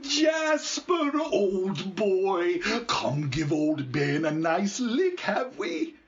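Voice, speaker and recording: An actor playing a frightened, simple-minded old beachcomber in a radio drama, rambling and repeating himself in pleading rustic speech. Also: male voice, high voice, sing-song, drawn-out words, husky, highs cut off, full character voice. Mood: fond, coaxing.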